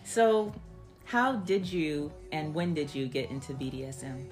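A woman's voice: low-pitched, a little slow, darkish tone. A woman podcast host with a slangy, laid-back, intimate delivery, telling an erotic story and chatting with a guest. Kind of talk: erotic storytelling and casual interview. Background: background music.

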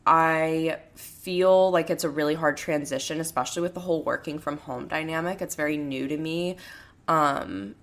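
Young female podcast host, low and gravelly, hoarse; loose rambling chat from home. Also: fairly bright tone. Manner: rambling, heavy use of "like" and "um". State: hoarse, raspy voice. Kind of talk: casual solo podcast chat.

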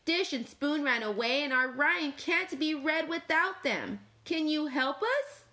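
A woman doing a bossy, squeaky storybook cat. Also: animated, bright, forceful tone, heavily acted. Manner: commanding, hurried. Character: bossy urgent male cat fiddler.

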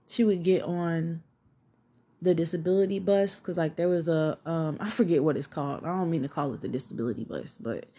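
A woman's voice: sits low, dark tone, breathy edge. A woman in her twenties from Atlanta with a Southern accent, hosting a podcast and candidly telling her life story in a chatty way, swearing and saying "like" a lot. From Atlanta, Georgia. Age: 20s. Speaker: podcast host. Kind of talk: casual personal storytelling.

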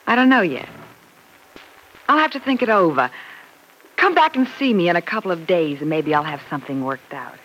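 An actress playing a hard-boiled, scheming moneylender in an old radio soap, curt, tough and slangy. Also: female voice, lowish voice, animated, fairly quick, long pauses, a bit bright. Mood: grudging. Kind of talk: 1940s radio soap opera acting. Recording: faintly noisy vintage radio drama recording.

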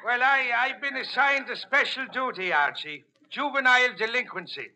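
A man's voice: extremely high pitch, moderate pace, bright, forceful tone, exaggerated voice. An actor playing a blustery, sly Irish policeman with mock-official insistence in a 1940s radio comedy. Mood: genial. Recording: slightly noisy old radio broadcast.

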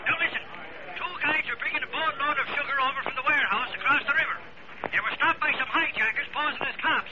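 An actor playing a brash, excitable, sarcastic police-beat reporter, slangy and emphatic, in 1940s radio style. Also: male voice, very high voice, fast talker. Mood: exasperated. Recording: muffled, noisy old radio recording.

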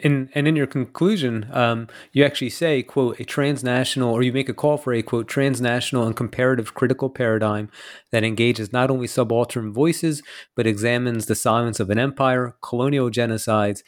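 Measured male interviewer, dry sound. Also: medium pitch, no room sound. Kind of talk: academic interview, reading quotes and posing questions.

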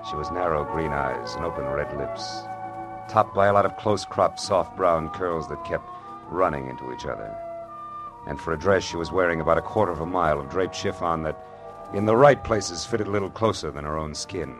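Low-voiced, wisecracking hard-boiled detective. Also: male voice, brisk. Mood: wry narration. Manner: sardonic, slangy, clipped wisecracking. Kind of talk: 1940s radio drama acting and first-person narration. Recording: old radio broadcast with music underneath.

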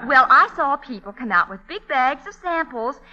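An actress playing a sweet, naive, excitable young woman with a girlish, earnest manner in a vintage radio comedy. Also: high-pitched, normal speed, dull audio, heavily acted. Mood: innocent, chatty. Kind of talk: 1940s radio comedy acting. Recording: vintage radio broadcast recording.

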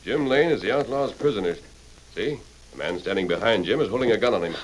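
An actor playing a resolute masked cowboy hero in a radio western, speaking with formal, commanding authority. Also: male voice, lowish voice, expressive, lightly acted. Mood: firm, calm. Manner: authoritative, formal, measured diction. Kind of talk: old-time radio western acting. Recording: faintly noisy old radio recording.

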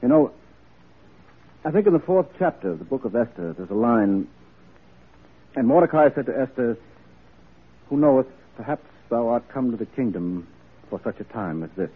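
An actor playing a kindly, devout, thoughtful statesman in an old radio drama, speaking in a measured, reflective manner. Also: mid-range voice, lively intonation, breathy edge. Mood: gentle, reverent. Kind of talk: 1940s radio acting. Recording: muffled vintage radio broadcast recording.

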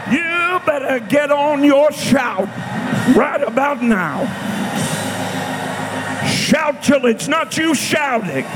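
A high-pitched American man in his sixties preaches in a big reverberant hall over loud music. He shouts and exhorts in fiery Pentecostal revival style, hammering repeated phrases. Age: 60s.

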